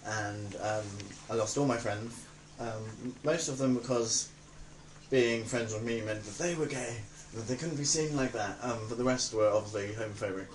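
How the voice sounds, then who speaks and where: A man's voice: mid-range voice, normal speed, recorded from a distance. A nineteen-year-old man with a southern English accent, talking informally and a little hesitantly in an interview. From Reading, England. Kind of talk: casual documentary interview. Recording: roomy echo, faint background noise.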